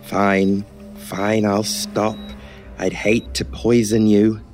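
An actor playing a polite, wordy, gently joking male hospital nurse in an old-time radio style horror drama, with a low voice. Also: flat delivery, takes it slowly, soft background music.

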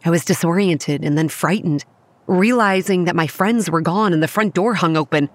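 A young woman acting as narrator of a horror audio drama, recounting grim childhood memories in a measured, matter-of-fact way. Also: on the low side, no room sound, natural voice. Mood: grave, measured. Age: young adult. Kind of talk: scripted first-person horror narration. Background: soft music.

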